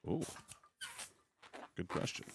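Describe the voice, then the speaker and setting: A man's voice: takes it slowly, background noise. A man bantering casually as a stream co-host, joking and rambling in a mock-earnest way.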